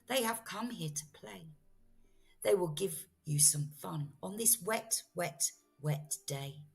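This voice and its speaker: Woman as a slow-talking, coaxing, mischievous storybook cat. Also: fairly low pitch, lively intonation, vocal fry, a little mellow, breathy edge, soft background music, heavily acted. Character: breezy, mischievous storybook cat, a reassuring showman. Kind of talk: children's storybook read-aloud.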